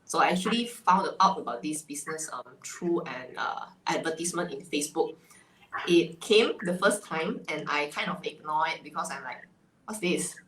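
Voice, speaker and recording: Malaysian lady, low voice, chatty and laughing, echoey room. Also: moderate pace, a bit bright. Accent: Malaysian English. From Malaysia (Sarawak). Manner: chatty, laughs between phrases. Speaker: guest. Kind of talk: casual interview chat. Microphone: video call. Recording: echoey room, faint ambient noise.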